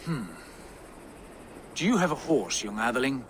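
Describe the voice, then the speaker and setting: An actor playing a brisk, decisive Viking lord in a game cutscene, speaking with authority. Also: male voice, mid-range voice, lively intonation, a little hiss or hum, natural voice. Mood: brisk, assured. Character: brisk, commanding Viking lord planning alliances. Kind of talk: video game cutscene acting.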